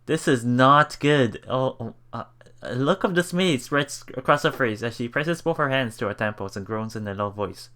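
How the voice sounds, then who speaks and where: A man with a bright, forceful voice of middle pitch reads a visual novel aloud as a Let's Play host. His narration is dramatic and expressive, with faint noise behind him. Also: moderate pace. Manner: expressive, dramatic, with murmured reactions.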